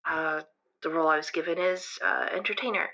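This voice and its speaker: A woman's voice: lowish voice, steady tone, brisk, pushed and bright. A woman playing a nervous fifteen-year-old calling a radio show, hesitant and apologetic, on a slightly muffled line. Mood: nervous, shy. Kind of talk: improvised audio drama.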